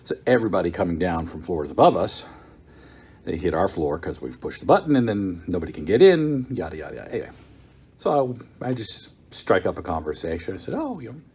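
A man's voice: deep voice, very animated, fairly quick, darkish tone, breathy edge. Chatty middle-aged American man, wry. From United States. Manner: chatty, wry, self-mocking storyteller. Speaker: vlogger. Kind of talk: casual video diary. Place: hotel room, indoors. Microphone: phone camera at arm's length.

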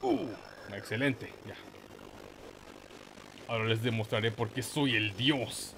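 A man's voice: medium pitch, slow pace, vocal fry, background noise. A man giving casual, slangy, mock-boastful gaming commentary with some swearing. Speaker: gameplay host.

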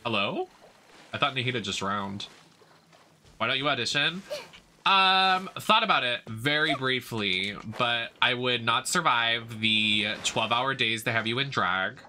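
Male streamer, young. Campy and dramatic, very bright, lively pitch, casual chat. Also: unhurried, slight background noise. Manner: campy, exaggerated, dramatic delivery. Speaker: drag performer and game streamer. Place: indoor streaming setup.

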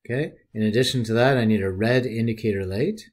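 A man narrating a screen-recorded software tutorial, talking through each step in a relaxed, folksy way. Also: mid-range voice, fast.

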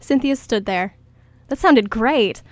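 A woman's voice: fairly high pitch, lively intonation, slow, no echo. A woman reading scripted story narration as the narrator of a radio play.

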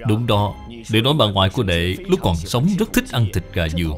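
A man's voice: on the low side, very animated, a little fast. A man voicing a stern senior Buddhist brother in a cartoon, grave, instructive and reproving. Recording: music behind the voice.

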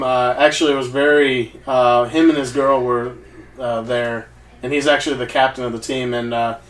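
A man chatting casually with friends in a small home den, telling stories with lots of fillers. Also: medium pitch. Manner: rambling storyteller, many fillers. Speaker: co-host. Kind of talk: casual chat. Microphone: far camera mic. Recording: echoey room from far off.

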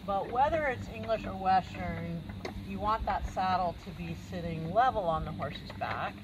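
A woman's voice: medium pitch, expressive, moderate pace, highs cut off. A woman riding clinician giving direct, practical instructions and explaining saddles to riders outdoors. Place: outdoor riding arena and stable. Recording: noisy outdoor camera audio.

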